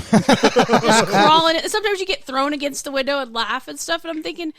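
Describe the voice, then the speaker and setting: Woman podcast co-host, kinda high voice, telling stories casually, close dry sound. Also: lively intonation, normal speed, a bit bright, no room sound. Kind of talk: casual group chat, anecdotal storytelling.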